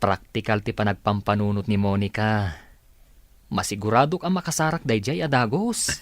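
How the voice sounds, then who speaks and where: A man's voice: fairly low pitch, normal speed, no echo, close to an everyday voice. Frank, teasing male buddy played by a man. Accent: Filipino, Ilocano. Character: teasing, practical male best friend. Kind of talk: radio drama acting.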